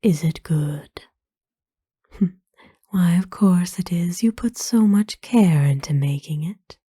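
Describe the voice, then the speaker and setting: Casting: woman as ancient vampire noblewoman for ASMR roleplay, very dark soft tone, breathy whisper, kind and formal, unhurried. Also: lowish voice, dry recording, clean studio sound, exaggerated voice. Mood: warm, gentle. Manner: formal, slow, tender, hesitant pauses. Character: kind, courtly centuries-old female vampire owner, gently doting. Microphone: close dry microphone.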